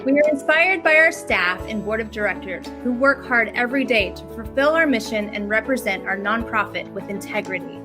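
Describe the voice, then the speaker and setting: A woman's voice: fairly high pitch, animated, a little fast, hardly any pauses. A woman delivering a scripted address to viewers as the head of an organization. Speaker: ballet school president and CEO. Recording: prominent music under the voice. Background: music.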